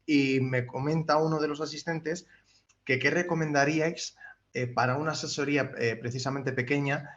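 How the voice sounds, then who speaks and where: Flat, halting man moderating a webinar. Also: a little high, lo-fi sound. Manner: halting, many restarts, flat delivery. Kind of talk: relaying audience questions.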